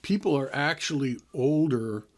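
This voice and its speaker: A man's voice: medium pitch, lively intonation, moderate pace. A man in his sixties hosting a health radio show, explaining things in a chatty, easygoing way and laughing now and then. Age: 60s. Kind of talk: casual radio talk.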